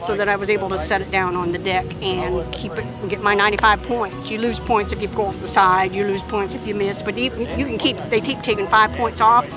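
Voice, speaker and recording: A woman's voice: mid-range voice, steady tone, fairly quick, hardly any pauses, over music. Eager, rambling woman at a flying field. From Indiana, USA. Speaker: competitor being interviewed. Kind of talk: casual interview.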